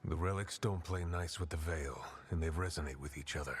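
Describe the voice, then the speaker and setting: An actor playing a secretive rebel leader in a video game, speaking in a measured, serious way. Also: male voice, rumbling low, slight background noise. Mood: grave. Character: grave, secretive male rebel leader called the Viper. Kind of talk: video game cutscene acting.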